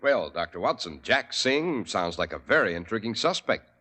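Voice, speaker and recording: A man reading a sponsor ad as announcer on a 1940s radio show, with a smooth, persuasive delivery. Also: fairly low pitch, sing-song, normal speed, loud music behind the voice.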